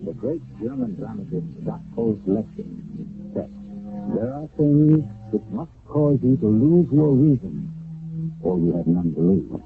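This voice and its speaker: A man hosting an old radio mystery drama, narrating in a measured, solemn storytelling manner. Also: medium pitch, big pitch swings, gravelly, music in the background. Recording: tinny lo-fi old radio broadcast.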